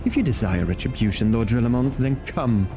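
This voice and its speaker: A man's voice: medium pitch, lively intonation, fairly quick, close to an everyday voice. A man voicing a haughty, scheming male inquisitor villain from a video game, delivered in a sneering, theatrical way, with game music behind. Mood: scornful.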